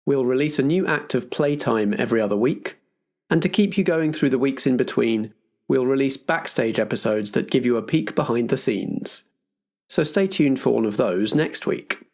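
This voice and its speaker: A man with a slightly husky voice, introducing and hosting a tabletop roleplaying production as game master. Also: mid-range voice, expressive, moderate pace, a little mellow.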